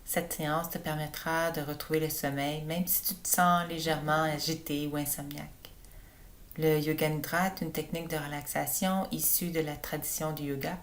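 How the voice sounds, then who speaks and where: A woman yoga teacher leading a guided relaxation for sleep in a slow, calm, soothing manner. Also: sits low. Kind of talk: guided meditation narration for sleep.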